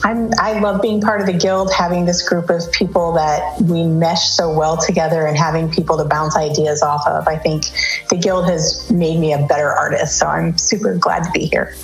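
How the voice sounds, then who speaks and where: A woman's voice: sits low, steady tone, normal speed, soft background music. A woman, a freelance designer, talking earnestly and reflectively in a casual group chat. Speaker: freelance designer, panel guest. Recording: echoey room.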